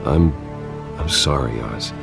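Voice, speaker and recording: Brooding video game hero, extremely deep, gravelly, measured, earnest, music underneath. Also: male voice, exaggerated voice. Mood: somber, sympathetic. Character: brooding, restrained wealthy man, calm and earnest.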